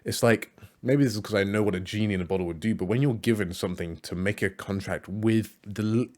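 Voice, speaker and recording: Deep-voiced rambling man reacting. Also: fast, a little mellow. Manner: rambling, many fillers and restarts. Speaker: YouTuber reacting to videos. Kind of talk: casual reaction commentary.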